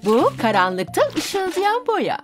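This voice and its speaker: A woman's voice: very high-pitched, slow pace, full character voice. A woman voicing a friendly young mechanic in a dubbed cartoon. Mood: reassuring. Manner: reassuring, lively. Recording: music under the voice.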